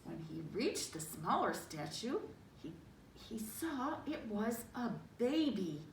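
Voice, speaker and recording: A woman reads a picture book to young children with dramatic emphasis. Her fairly high voice moves up and down freely at an unhurried pace. She sounds slightly away from the mic, adding small asides as she goes. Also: very animated, creaky. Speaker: storybook reader. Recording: roomy, distant mic, faint noise.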